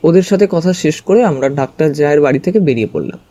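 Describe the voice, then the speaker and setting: A man's voice: a little high, fast, dark tone. A man narrating a story dramatically in the first person.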